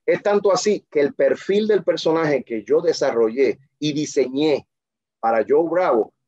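Hombre seguro de sí, voz oscura y ronca. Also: male voice, mid-range voice, dark tone, slightly rough, no echo. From Dominican Republic. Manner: emphatic, self-assured, full of restarts. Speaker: interview guest. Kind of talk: casual interview storytelling. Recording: muffled dry lo-fi sound.

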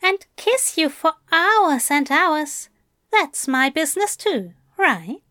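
A woman's voice: sits high, sing-song, slow, pushed and bright, no echo, studio quality, voice pushed up, full character voice. A woman voicing a tender, teasing male angel lover in an audiobook, coaxing and fussily polite with endearments. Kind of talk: fan fiction audiobook character voice.

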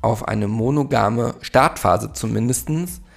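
A man's voice: medium pitch, a little slow, vocal fry, soft background music. A man in his forties from Germany hosting a solo podcast, talking in a reflective, rambling way with many fillers. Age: 40s. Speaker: podcast host.

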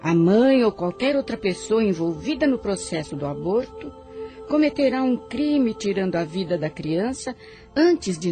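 A female guardian angel acted by a woman speaks solemnly and protectively in a radio play. Her formal, preaching delivery rises and falls expressively, with clear music underneath. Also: mid-range voice. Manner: solemn, preaching, formal old-fashioned address. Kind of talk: religious radio drama acting.

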